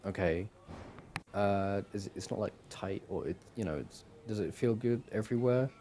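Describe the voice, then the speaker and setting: A man acting as a doting, smitten young boyfriend on a shopping date in an audio roleplay, speaking in a hesitant, halting, affectionate way. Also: fairly low pitch, dark tone, a little hiss or hum, natural voice. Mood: eager, affectionate. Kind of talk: boyfriend ASMR roleplay, acted one-sided conversation.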